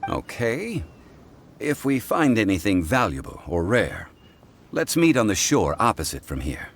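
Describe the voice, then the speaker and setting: An actor playing a calm, learned, dignified man who speaks in a measured, formal way. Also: lowish voice, a little hiss or hum. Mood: composed. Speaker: game character. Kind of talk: video game cutscene acting. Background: game soundtrack music.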